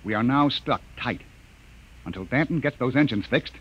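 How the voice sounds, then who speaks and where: An actor playing a stern, harried spaceship captain in old-time radio science fiction, with clipped, commanding delivery. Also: male voice, mid-range voice, lively intonation, normal speed, slightly rough. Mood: exasperated. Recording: dull, noisy old radio recording.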